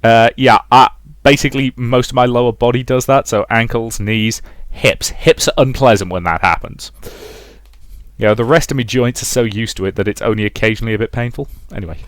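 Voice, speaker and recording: A man's voice: medium pitch, brisk, no room sound, clean studio sound. A man giving casual gameplay commentary as a let's player, chatting in a rambling, self-questioning way. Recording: game music behind the voice.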